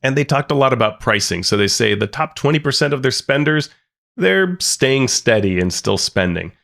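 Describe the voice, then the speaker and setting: A man's voice: mid-range voice, moderate pace, vocal fry. A man co-hosting a tabletop gaming podcast, casually running through earnings figures with dry asides. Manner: relaxed, reads figures off notes with dry asides. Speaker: podcast co-host. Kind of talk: casual news discussion.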